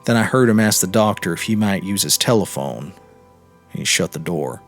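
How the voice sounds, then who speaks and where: A man narrating a literary short story in a measured, expressive storytelling manner. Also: sits low. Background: music.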